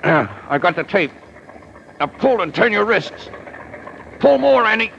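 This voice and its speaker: A man's voice: high-pitched, sing-song, measured pace, fairly bright tone, heavily acted. An actor playing a tough, protective newspaperman hero giving terse, urgent orders in an old-time radio crime drama. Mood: strained, urgent. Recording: noisy, muffled old radio recording.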